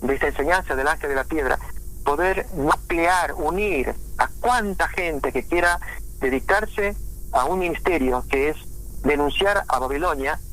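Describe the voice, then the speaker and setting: A man preaching informally in a halting way, with frequent restarts and fillers. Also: on the high side, fairly bright tone, low-quality recording. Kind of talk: informal sermon talk on prophecy.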